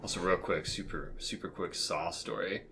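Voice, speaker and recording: A man's voice: low voice, normal speed, creaky, bright, forceful tone. A man co-hosting a podcast, telling anecdotes in casual, amused, jokey conversation. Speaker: podcast co-host.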